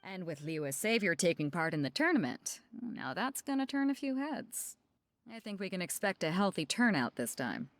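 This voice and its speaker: Confident, animated roguish ship captain. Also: female voice, fairly low pitch, normal speed, no room sound. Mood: sly, encouraging. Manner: breezy, colloquial, teasing. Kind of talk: video game voice acting.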